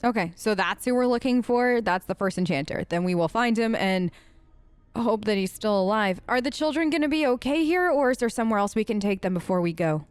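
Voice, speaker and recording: A woman's voice: medium pitch, expressive, a little fast, smooth, no room sound. A woman hosting a role-play let's play, improvising her character's lines in casual, earnest, informal talk.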